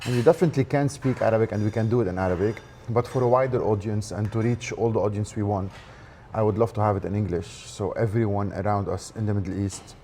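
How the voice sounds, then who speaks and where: A man's voice: lowish voice, warm tone. A man hosting an interview, asking questions in a conversational way. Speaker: interviewer, host. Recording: faint background ambient noise.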